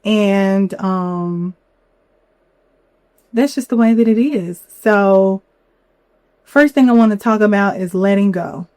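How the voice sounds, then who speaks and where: A young woman hosting a solo podcast, a little hoarse from a cold, talking candidly with lots of "you know". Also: medium pitch, slow, long pauses, darkish tone, dry recording. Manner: candid, chatty, many fillers and "you know". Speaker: podcast host. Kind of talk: reflective solo podcast talk.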